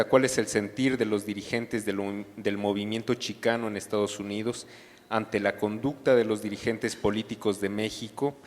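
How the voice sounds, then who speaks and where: A man moderating a lecture, reading audience questions out in a measured, formal way. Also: on the low side. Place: lecture hall. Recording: lecture hall with long reverb.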